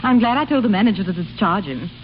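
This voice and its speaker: An actress playing a haughty, imperious grand duchess in a vintage radio comedy, with regal, commanding formality. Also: female voice, mid-range voice, animated, quick pace, soft background music, lightly acted. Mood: regal, decided. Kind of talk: 1930s-40s radio comedy acting. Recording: dull, noisy old radio broadcast.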